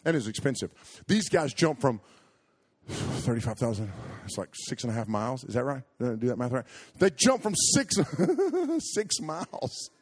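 Animated man telling a story. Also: mid-range voice, normal speed, slightly rough. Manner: animated, jokey, self-interrupting storyteller. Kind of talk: storytelling sermon with humorous asides.

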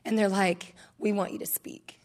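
A woman in her thirties with a raspy voice, giving a candid and emotional personal testimony on a conference stage. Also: medium pitch, little pitch movement, moderate pace, a little mellow.

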